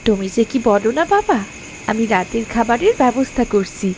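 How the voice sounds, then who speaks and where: Lively, high-voiced young village wife. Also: female voice, normal speed, slight background noise. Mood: bashful. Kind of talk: Bengali cartoon moral-tale acting.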